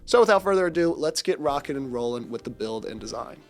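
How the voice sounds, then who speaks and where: A young man with a congested, stuffy voice, briskly presenting an upbeat scripted audio product review. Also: mid-range voice, lively intonation, soft background music.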